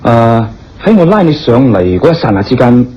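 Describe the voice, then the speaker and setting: Concerned, tactful gentleman, muffled radio sound. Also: medium pitch, very animated, fairly quick, breathy edge. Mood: probing, reassuring. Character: concerned, tactful gentleman probing and reassuring a stranger. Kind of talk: radio drama acting. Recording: dull lo-fi radio drama, music behind.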